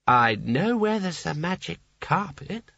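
A man voicing a tipsy, dreamy old male seraph who talks slowly and wanders off mid-thought, hiccuping. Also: a little high, sing-song, creaky, sharp tone, no room sound, lo-fi sound, voice pushed up, heavily acted.

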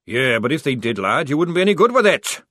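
A man voicing a gruff male army sergeant who barks orders, in a storybook reading. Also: a little high, big pitch swings, fast talker, pushed and bright, dry recording, clean studio sound, pitched above the performer's own voice, heavily acted. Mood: commanding. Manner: barking orders.

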